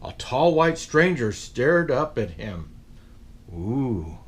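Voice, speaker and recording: Animated man reading a picture book aloud. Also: mid-range voice, takes it slowly, a little hiss or hum. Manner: animated, with playful asides to young listeners. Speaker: storyteller in a Santa persona.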